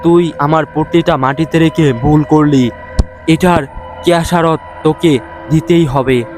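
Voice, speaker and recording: A man's voice: on the high side, fairly even intonation, a little slow, natural voice. A man voicing a mysterious, menacing old ghost woman in an audio story. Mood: threatening. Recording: music behind the voice.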